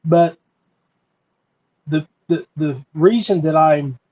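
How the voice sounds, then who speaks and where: An American man hosting a podcast, talking informally and earnestly with frequent pauses. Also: fairly high pitch, a little mellow, lo-fi sound. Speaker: podcast host. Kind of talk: informal solo podcast talk.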